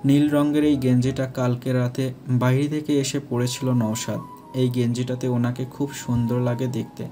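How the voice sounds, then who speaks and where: Mellow male story narrator. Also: mid-range voice, level tone, moderate pace, faint music behind. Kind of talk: scripted romantic story narration.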